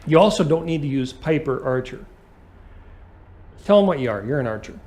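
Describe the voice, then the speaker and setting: A man's voice: on the high side, lively intonation, normal speed, darkish tone. Male flight instructor explaining step by step. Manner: explanatory, checks understanding with short questions. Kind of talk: hands-on aviation lesson.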